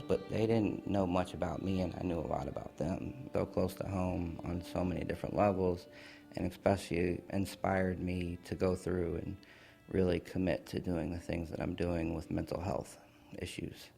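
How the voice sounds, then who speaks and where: A young man speaks in a very low voice with plenty of gravel and fry running through it, and the tone is dark and mellow. He tells his own story to an interviewer in a candid, reflective way, with loose fillers scattered between his thoughts.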